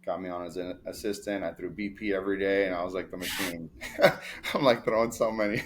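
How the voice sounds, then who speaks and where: A young man from New York, a sports agent telling stories in a relaxed, rambling interview with many fillers. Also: low voice, a little fast, noisy background. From New York, of Dominican family. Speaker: baseball player agent, guest. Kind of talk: casual interview storytelling.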